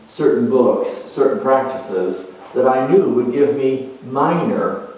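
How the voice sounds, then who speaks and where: A man playing an earnest, reflective spiritual seeker in a dramatized monologue, speaking haltingly and conversationally with pauses. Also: medium pitch, mellow, natural voice. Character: earnest spiritual seeker, male adventurer turned motivational broadcaster. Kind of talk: monologue in character, delivered conversationally. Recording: echoey room, distant microphone.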